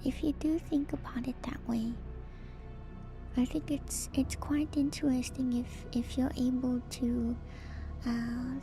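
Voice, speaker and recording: Slow, whispering ASMR woman. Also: high-pitched. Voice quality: soft, breathy whisper. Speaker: streamer hosting an ASMR lore stream. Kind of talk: whispered ASMR, casual commentary. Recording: music playing behind the voice. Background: music.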